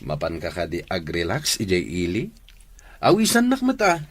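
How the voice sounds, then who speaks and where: A young male buddy in a radio drama. He is easygoing and teasing, with admiring, animated delivery. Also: low-pitched, normal speed, exaggerated voice.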